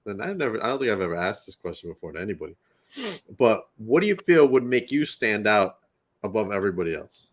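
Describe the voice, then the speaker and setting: A man's voice: on the low side, lively intonation, fast. A man hosting a podcast interview in casual chat, sounding stuffed up and nasal from allergies, laughing often and repeating himself. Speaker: podcast host.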